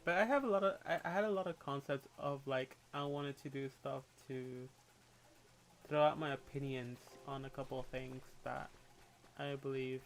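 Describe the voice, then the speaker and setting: A man's voice: mid-range voice, gravelly, a little hiss or hum, low-quality recording. A young man with a Puerto Rican accent, chatting and ranting on a livestream in a candid, opinionated way. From Puerto Rico. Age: young adult. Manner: candid, opinionated, quick to rant, with casual swearing. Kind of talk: casual livestream chat and rant.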